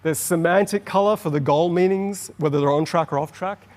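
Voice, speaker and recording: A man's voice: fairly high pitch, a little mellow. A middle-aged Australian man giving an enthusiastic, explanatory conference talk on stage. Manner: explanatory, enthusiastic, quickens on asides. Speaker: conference presenter. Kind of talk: keynote presentation. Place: large conference hall on stage. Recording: long hall reverb.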